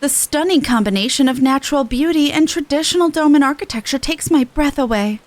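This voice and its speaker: A woman's voice: high-pitched, brisk, no echo, close to an everyday voice. A woman voicing a gracious, formal official from a fantasy game, reading the dialogue expressively. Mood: awed. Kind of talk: amateur game dialogue read-aloud.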